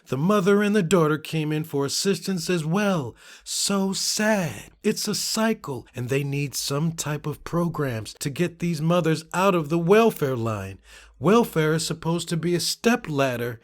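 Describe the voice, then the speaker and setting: A man's voice: fairly high pitch, expressive, a little slow. A man narrating online comments as a theatrical dramatic reading, shifting his delivery from one comment to the next. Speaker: narrator of a social media commentary channel.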